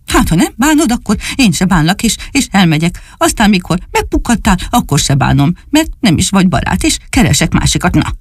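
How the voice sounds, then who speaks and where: A woman voicing a small, excitable little dog that whines and sulks in a storybook reading. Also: mid-range voice, hardly any pauses, husky, no echo, clean studio sound. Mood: scolding. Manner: whiny, sulky and excitable.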